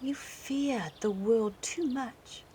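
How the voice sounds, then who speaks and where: Actress as young woman, sorrowful, principled, highish pitch, period audio drama, light nature ambience. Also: slow pace, dark tone, slight background noise. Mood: sad reproach.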